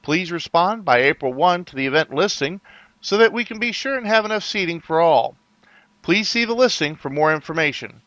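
A man reads a prerecorded event promo in a bright, cheerful announcer voice. His pitch is a bit high, and the recording is dry and slightly dull. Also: expressive, brisk, no echo. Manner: bright, upbeat announcer delivery.

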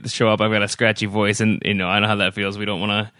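A man's voice: on the low side, flat delivery, quick pace, sharp tone, no echo, studio quality. A man hosting a podcast in a casual, friendly way, with easy filler words and restarts. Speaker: podcast host.

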